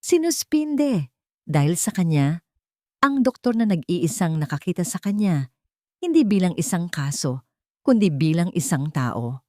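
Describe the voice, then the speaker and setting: Isang babaeng nagsasalaysay ng madramang kuwento mula sa nakasulat na iskrip. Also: female voice, fairly low pitch, very animated, unhurried, pauses often, dark tone, dry recording, studio quality. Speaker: story narrator. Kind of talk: scripted dramatic story narration.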